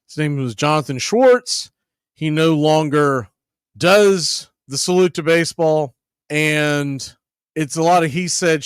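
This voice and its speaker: A man hosting a baseball radio show, talking casually to listeners in a conversational, halting way. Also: fairly high pitch, clean tone, dry recording, clean studio sound. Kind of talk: casual monologue to listeners about baseball history.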